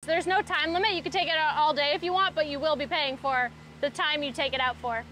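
A woman's voice: high voice, fairly even intonation, fairly quick, pushed and bright, slight background noise. A woman hosting a casual, chatty travel vlog outdoors, with an upbeat, playful manner. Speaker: vlog host. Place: outdoors, mostly on a boat on a lake.

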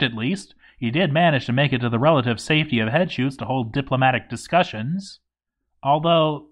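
A man narrates a game log from a script, playing it in character. His voice is mid-pitched, and his delivery is wry and sarcastic, breaking into exclamatory asides. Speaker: narrator of a game story read-through.

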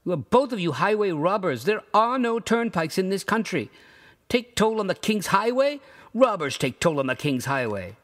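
A man voicing a bewildered, indignant lost male traveler in a storybook reading, with a high, strained, protesting voice. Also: big pitch swings, moderate pace, big-room sound. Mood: outraged.

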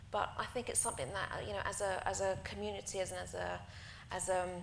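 A woman's voice: mid-range voice, fairly bright tone. This is a woman whose first language is Greek, speaking as a teacher at a panel event. She talks earnestly and with animation, often restarting her sentences. The recording is roomy and echoey, with a faint hiss beneath it. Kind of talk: panel discussion answer. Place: conference hall. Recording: echoey hall with faint noise.

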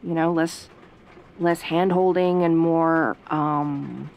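A woman playing a sassy, easily flustered young tomboy girlfriend, sarcastic and haltingly defensive, in an audio roleplay. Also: deep voice, steady tone, lo-fi sound. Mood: sheepish, wry.

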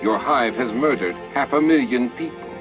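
A man voicing a stern, probing starship captain in an audiobook, in a measured, challenging manner. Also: mid-range voice, highs cut off, music in the background, pitched above the performer's own voice, natural voice. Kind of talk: audiobook character dialogue.

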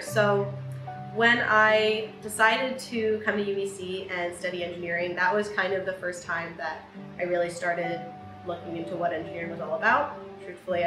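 A young woman student, about twenty, reflects to camera in a loose, chatty way, full of ums and restarts, and says she has a loud voice. The room rings around her, and music plays clearly underneath. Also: on the low side. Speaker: engineering student vlogger. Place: room with large window, indoors. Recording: roomy with background music.

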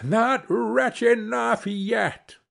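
A man's voice: high-pitched, big pitch swings, unhurried, gravelly, husky, pitched above the performer's own voice, exaggerated voice. A man voicing a small female sparrow in a storybook, bold, loyal and vengeful, with an emphatic delivery. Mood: vengeful, taunting.

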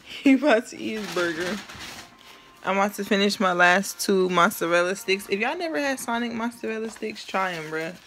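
A woman's voice: mid-range voice, a little fast, a bit bright, a little hiss or hum. A young woman in her twenties chatting casually to her phone camera on a night out, sometimes in a sing-song way. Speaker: vlogger. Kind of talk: casual vlog chat. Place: movie theater, pool hall, car, home. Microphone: phone held at arm's length.

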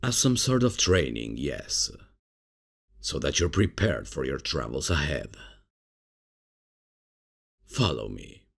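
A man playing a laid-back, bumbling substitute professor in a comedic ASMR roleplay, casual and hesitant, with a deep, gravelly, husky voice. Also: very animated, slow pace, long pauses, full character voice. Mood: casual, amused. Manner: casual, hesitant, chuckling, unprofessional. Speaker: roleplay audio performer.

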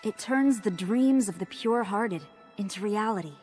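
A woman voicing a solemn, dignified mystical sheep guardian in a video game, formal and measured. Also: mid-range voice, darkish tone, close to an everyday voice. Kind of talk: animated video game cutscene acting. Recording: music under the voice.

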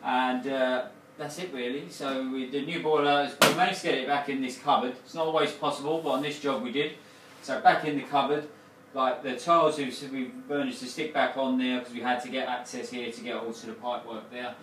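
A man's voice: mid-range voice, a little fast, far from the mic, a little hiss or hum. Man talking in a roomy kitchen. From England. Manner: relaxed, many fillers and restarts, explanatory. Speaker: plumbing company owner. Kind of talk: casual product walkthrough. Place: small home kitchen, indoors. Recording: roomy kitchen sound, voice a little off-mic.

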